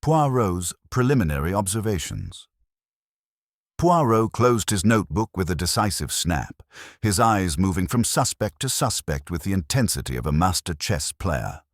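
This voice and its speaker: A man narrates a detective story in a steady, formal way. His voice is very deep yet lively in pitch. The recording is close and dry. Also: normal speed, no echo. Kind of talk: scripted mystery narration.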